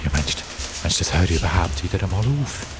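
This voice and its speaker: Anxious, animated Swiss German boy. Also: sits low, fast, over loud music. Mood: doubtful, nagging. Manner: hesitant, repeats himself, questioning. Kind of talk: children's audio drama acting.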